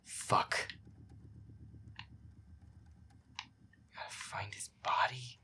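A man's voice: measured pace, sharp tone. A man as a whispery, grumpy gamer boyfriend. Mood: irritated, uneasy. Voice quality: breathy, often whispered. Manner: muttering, sweary, exasperated. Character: feisty, grumpy werewolf boyfriend playing a scary video game. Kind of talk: boyfriend audio roleplay as gameplay commentary.

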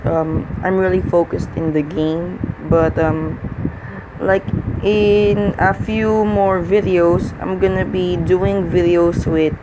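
A man from the Philippines with a Filipino accent, a non-native English speaker, giving casual let's-play commentary in a halting way, with many fillers and restarts. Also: sits high, background noise. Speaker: gaming channel host.

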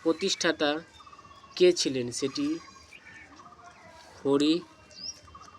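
A man takes students through exam answers. He speaks in deliberate, broken-up phrases and stresses each answer. There is faint noise behind him in an amateur recording. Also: a little high, fairly even intonation. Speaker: exam tutor, channel host. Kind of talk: reading out exam questions and answers as a lesson.

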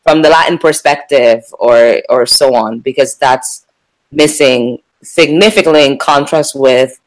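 A woman museum curator speaking on a panel over a video call, halting, with many ums and restarts. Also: rumbling low, rough recording. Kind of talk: unscripted panel discussion.

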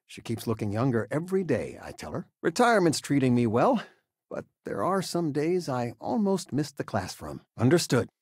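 An older man playing a warm, fussing retired-teacher father in a video game, with a hesitant, folksy, expressive manner. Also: mid-range voice, normal speed, husky, no room sound, studio quality. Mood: conversational, fond. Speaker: voice actor. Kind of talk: video game character dialogue.

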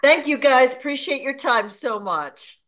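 A woman's voice: sits high, expressive, unhurried, fairly bright tone. A middle-aged woman hosting a casual interview over a video call from home. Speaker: host. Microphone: computer mic on a video call.

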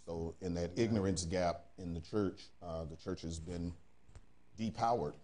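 A man's voice: low-pitched, a little slow, warm tone. A middle-aged man introducing himself as a pastor on a panel show. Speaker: pastor, talk-show guest. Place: indoor TV studio, seated.

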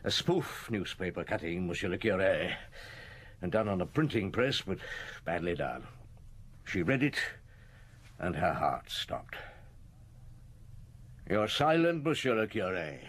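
An actor in a radio drama playing a brooding police chief inspector, deliberate and questioning, thinking aloud. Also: male voice, low voice, sing-song, a bit bright, breathy edge, heavily acted. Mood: probing. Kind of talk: BBC radio drama acting. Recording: noisy old radio broadcast.

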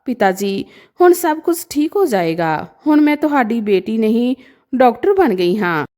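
A woman's voice: fairly high pitch, very animated, moderate pace, a little mellow. A woman voicing a devoted young doctor daughter in a storybook tale, speaking earnestly and pleadingly to her father. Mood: tender, insistent.

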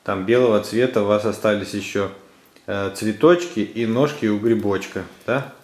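A man's voice: fairly low pitch, normal speed, a little mellow. A man chatting casually with small children, guiding them with encouragement and short checking questions. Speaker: parent filming and guiding children's craft. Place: living room at home, behind the camera. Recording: echoey room, off-camera.

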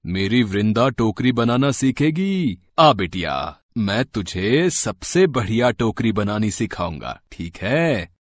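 A man's voice: fairly low pitch, very animated, slow pace, few pauses, no room sound, heavily acted. A man voicing a kindly older village father who weaves baskets in a cartoon story, affectionate and encouraging.

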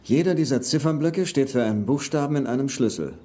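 An actor playing an earnest, insistent treasure hunter, a grown son, in a dubbed film. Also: male voice, medium pitch, lively intonation, fast talker, warm tone, close to an everyday voice. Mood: urgent, impatient. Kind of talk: German film dub acting. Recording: film soundtrack with music underneath.

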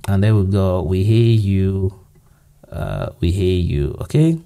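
A Nigerian man with a low, gravelly voice talks through a screen-recorded software tutorial. His tone is mellow and dark, and he thinks aloud with easygoing fillers and restarts as he goes. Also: slow. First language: Yoruba. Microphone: voice-over to screen recording.

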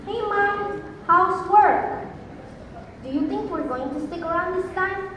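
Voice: very high-pitched, takes it slowly, fairly bright tone, full character voice. A child of about twelve playing a hardworking teenage son, resentful yet devoted to his mother, in an earnest, theatrical stage monologue. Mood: hopeful. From Indonesia. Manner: earnest, theatrical, emotional delivery. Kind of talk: school monologue competition performance. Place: large indoor auditorium. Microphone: phone camera from far off. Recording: echoey school auditorium, distant phone, noisy.

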